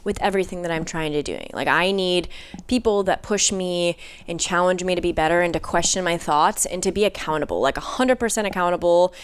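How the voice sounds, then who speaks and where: A woman's voice: on the low side, brisk, clean tone. A woman guest in a casual podcast conversation, talking emphatically and filling her speech with "like".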